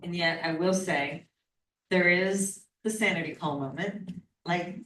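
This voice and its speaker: Low-voiced woman in a roomy room. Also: unhurried, fairly bright tone, a little hiss or hum. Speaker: conversation partner, baker and author. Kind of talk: casual conversation at a book event. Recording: roomy, distant from the mic.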